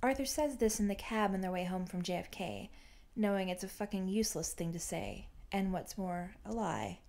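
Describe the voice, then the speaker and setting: Mellow woman narrating fiction. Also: medium pitch, lively intonation, normal speed. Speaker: fan fiction reader. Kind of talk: scripted audiobook-style narration.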